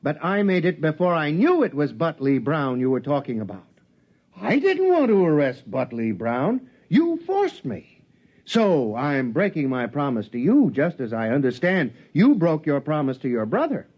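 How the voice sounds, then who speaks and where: A man's voice: on the high side, wildly swooping pitch, moderate pace, exaggerated voice. A man playing an officious, easily flustered spaceship captain in a 1950s radio drama, by turns official and pleading. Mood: firm. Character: flustered, officious male spaceship captain presiding over a hearing. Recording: dull vintage 1950s radio recording.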